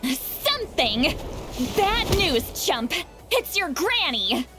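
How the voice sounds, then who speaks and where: A woman playing a feisty, sharp-tongued but caring grandmother in a video game, with an exasperated, scolding manner. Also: high-pitched, sing-song, takes it slowly, music in the background, exaggerated voice. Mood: accusing. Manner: scolding, exasperated, dramatic sighs. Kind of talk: English game voice acting.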